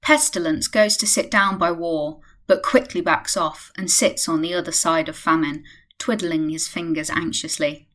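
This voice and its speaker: A woman's voice: fairly low pitch, fairly even intonation, normal speed, a bit bright, lightly acted. A woman reading scripted narration for a radio play.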